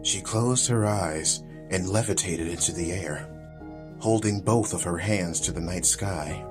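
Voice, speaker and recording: A man's voice: low voice, unhurried, over music. A man reading a scripted, dramatic story as an audiobook narrator.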